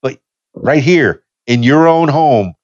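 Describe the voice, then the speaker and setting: A man's voice: a little high, expressive, slow pace, no room sound, highs cut off. A man in his fifties hosting a casual podcast chat, easygoing and rambling, with frequent restarts. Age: 50s. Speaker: podcast host.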